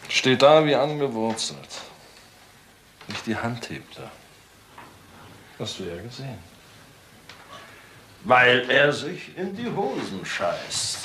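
A brutal middle-aged father in a crime radio play. He taunts and threatens in a forceful, widely swinging voice, recorded in a roomy space. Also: medium pitch, very animated, slow, pauses often, slight background noise, heavily acted. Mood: menacing contempt. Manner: menacing, taunting, coarse.

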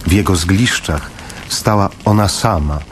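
An actor playing a grave father who narrates in the first person in a radio play, measured and full of pauses. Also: deep voice, slight background noise. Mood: somber. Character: somber father narrating his family's wartime flight.

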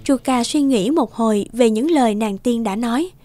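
A woman narrating a scripted fairy tale for a children's cartoon in a measured storytelling manner. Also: fairly high pitch, mellow. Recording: music clearly behind the voice.

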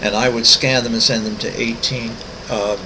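A man in his sixties, an artist walking through his website, talking casually with many ums, pauses and restarts. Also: mid-range voice, steady tone, noisy background. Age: 60s. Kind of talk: casual screen-recorded walkthrough.

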